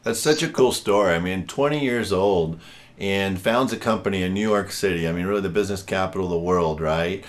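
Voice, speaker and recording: This is a man in his forties with a low-pitched voice, hosting a podcast interview. He talks in a chatty, rambling way and keeps dropping in fillers as he works his way toward his questions. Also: brisk. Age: 40s. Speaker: podcast host.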